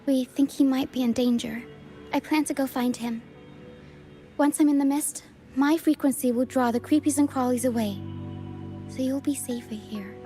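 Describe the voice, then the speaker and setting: A woman acts out a young female guide from a video game troupe, high in pitch. She is earnest and soft-spoken, with a playful stage flair, over background music. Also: steady tone, normal speed, lightly acted. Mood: worried, resolute. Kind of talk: video game dialogue acting.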